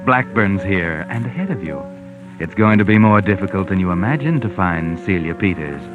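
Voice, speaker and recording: A man playing a mocking, all-knowing mystery narrator, sardonic and full of dramatic pauses, in 1940s radio style. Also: low-pitched, lively intonation. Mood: taunting. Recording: narrow-band 1940s radio recording. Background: music.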